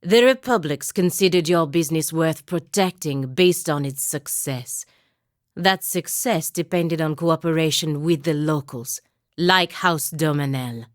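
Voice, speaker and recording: A woman's voice: deep voice, measured pace, no room sound. An actress playing a stern, dutiful and proud paladin woman in a video game, with a dry, sardonic and commanding manner. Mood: cold, stern. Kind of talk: video game character dialogue.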